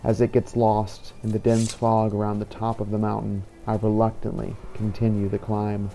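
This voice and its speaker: A man voicing a brooding, defiant fantasy hero, narrating his own game adventure in a deliberate, dramatic way. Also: on the low side, completely flat delivery, creaky, dark tone, faint music behind. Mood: grim, restless. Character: brooding male dragon-slaying hero, weary and defiant. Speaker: role-playing gamer narrating as his character. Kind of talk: first-person in-character scripted narration. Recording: faint background noise.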